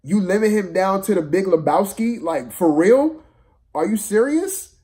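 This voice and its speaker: A man giving a casual, unscripted film review rant, exasperated and slangy, repeating himself for emphasis in a halting way. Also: high-pitched, lively intonation, mellow.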